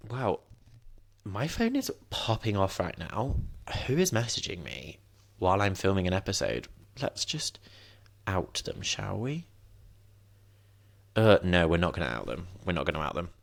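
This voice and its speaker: A young man in his twenties hosts a solo podcast from home in a rambling, self-mocking way. His voice sits very low, and the sound is close and dry with no room around it. A sniffly nose runs through his talk. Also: big pitch swings, measured pace, vocal fry, long pauses, no echo. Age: 20s. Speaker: podcast host. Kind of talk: casual solo podcast chat. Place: at home, indoors.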